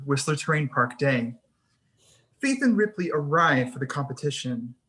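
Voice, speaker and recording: Slightly husky screenplay narrator. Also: mid-range voice, a bit bright. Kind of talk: scripted narration, reading screenplay action lines.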